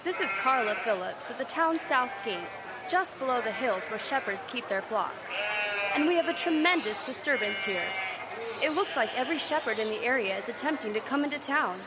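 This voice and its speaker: An actress playing a persistent field news reporter in a live audio drama, speaking in a brisk broadcast style. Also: female voice, fairly high pitch, expressive, heavy background noise, lightly acted.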